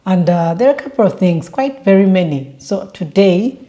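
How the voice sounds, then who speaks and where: A woman's voice: mid-range voice, animated, moderate pace, dark tone, muffled recording. A young woman from Uganda chatting casually in a travel vlog, with lots of fillers and restarts. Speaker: vlogger.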